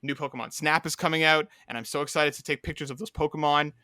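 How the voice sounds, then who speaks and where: Bright-voiced man hosting a casual podcast. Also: on the high side, fairly even intonation, quick pace, no echo, studio quality. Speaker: podcast host. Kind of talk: casual chat about video games.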